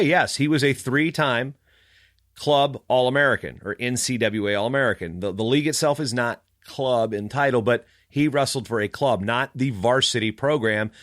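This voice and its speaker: A man's voice: medium pitch, a bit bright, no echo, clean studio sound. A man podcaster telling a story in a casual, snarky, emphatic rant. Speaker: wrestling podcaster.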